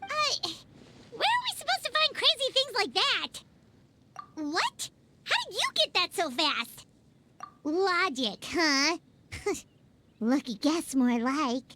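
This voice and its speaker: A woman playing a tiny, childlike, cheeky fairy companion with a squeaky, perky voice in an anime-style game. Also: very animated, slow pace, pauses often, background noise, full character voice. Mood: baffled, skeptical. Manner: perky, refers to self in third person. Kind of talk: anime-style video game character acting. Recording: loud music behind the voice.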